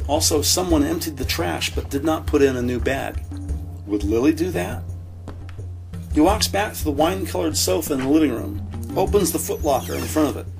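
A man reading scripted audio drama narration in a measured, descriptive way. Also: fairly high pitch, gravelly.

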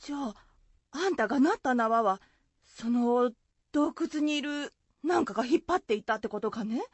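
A woman's voice: high voice, expressive, moderate pace, husky, no room sound. A woman playing an anxious, caring rustic wife in a folk-tale radio drama, fretful and questioning, with Shizuoka dialect. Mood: anxious, curious.

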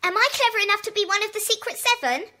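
A woman's voice: very high voice, fast, sharp tone. An actress playing a cheeky, teasing schoolgirl prankster with a mocking manner in a children's audio drama. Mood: smug, teasing. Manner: mocking, giggly.

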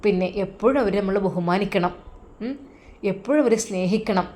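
A woman voicing a tender mother gently advising her newly married daughter. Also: medium pitch, moderate pace, voice pushed down, lightly acted. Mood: tender advice. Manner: tender, instructive.

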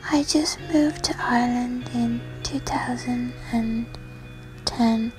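A woman speaks slowly in a hushed, breathy half-whisper, recounting a memory. Her pitch sits a little high and flat, and clear music runs beneath. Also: rough recording. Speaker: interviewee. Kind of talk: personal testimony.

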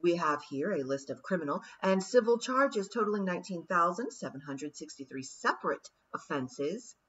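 A woman's voice: lowish voice, expressive, normal speed, a bit bright, husky. A woman playing a solemn, formal male lawyer reading out charges. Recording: dull lo-fi recording.

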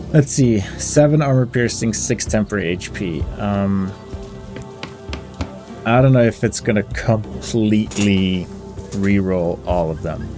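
Low-voiced man musing over a game, music behind. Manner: mutters through options, reading game text aloud. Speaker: gamer hosting a playthrough. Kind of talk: casual gameplay commentary, thinking aloud.

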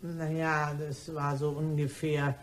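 A woman's voice: low-pitched, little pitch movement, slow. A woman acting in a German crime radio play as a naive, gossipy cleaning lady, garrulous and homely. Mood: guileless.

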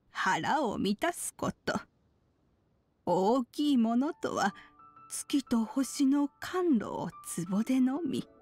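A wise old woman from a fantasy game, gentle and unhurried. The voice sits a little high, with archaic, measured phrasing. Soft music plays behind it. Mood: wistful, reverent. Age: elderly. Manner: measured, old-fashioned elder speech. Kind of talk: Japanese game voice acting.

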